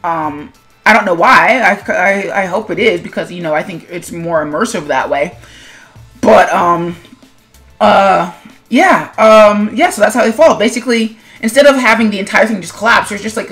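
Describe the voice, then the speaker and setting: A woman's voice: mid-range voice, fairly bright tone. Casual, rambling woman over music. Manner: rambling, self-deprecating, conversational. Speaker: webcomic animator and video host. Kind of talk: casual commentary vlog on own animation.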